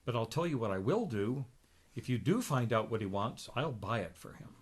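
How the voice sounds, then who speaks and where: A man's voice: medium pitch, lively intonation, normal speed, slightly rough. Man reading as a folksy old grandmother duck. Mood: helpful. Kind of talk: comic book read aloud.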